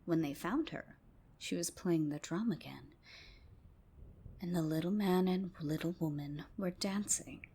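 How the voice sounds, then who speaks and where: Slow, whispery woman telling a tale. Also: fairly low pitch, husky. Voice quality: soft, whispery. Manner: hushed, unhurried, bedtime tone. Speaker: storyteller. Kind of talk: ASMR storytelling, scripted narration.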